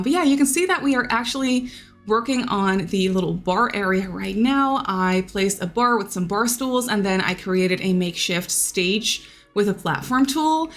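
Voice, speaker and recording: Chatty Dutch-native woman over soft music. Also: mid-range voice. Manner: chatty, many fillers and repeated words. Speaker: Sims builder YouTuber. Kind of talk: casual voice-over commentary. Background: soft background music.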